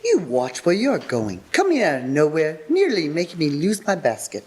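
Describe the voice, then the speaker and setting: A woman acts a brusque shopper in radio theatre. Her low voice swings dramatically up and down, blunt yet good-hearted. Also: wildly swooping pitch, moderate pace, darkish tone. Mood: annoyed. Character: brusque but forgiving female shopper with basket. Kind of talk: live radio play acting.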